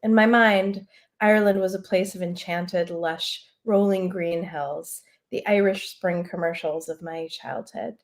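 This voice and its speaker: A woman author reading her own literary prose aloud over a video call, unhurried and soft-spoken, with casual asides. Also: on the low side, fairly even intonation.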